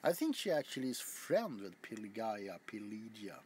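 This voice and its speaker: A man's voice: lowish voice, big pitch swings, normal speed, darkish tone, slight background noise. A man chatting casually to his viewers as a video host, rambling with frequent stammers, restarts and casual swearing. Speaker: ASMR YouTuber.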